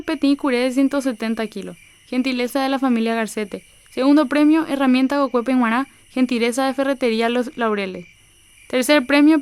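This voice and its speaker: A woman's voice: high-pitched, clean tone, close to an everyday voice. A woman playing a village teacher announcing at a festival in a radio soap opera, with a Paraguayan accent.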